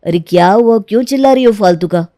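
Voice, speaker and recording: A young husband from a cartoon family drama, played by a woman. He speaks at a middling female pitch in a close, dry sound, firm and full of reproach. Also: quick pace, dark tone, no room sound, close to an everyday voice. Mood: irritated. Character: stern, disillusioned young husband and protective son. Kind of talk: Hindi animated moral-story dramatization.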